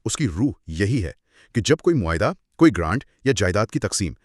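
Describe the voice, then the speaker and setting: A man co-hosting a scripted explanatory discussion, speaking in a measured, emphatic, didactic way. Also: lowish voice, very animated, breathy edge, no room sound, clean studio sound. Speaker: co-host explaining law.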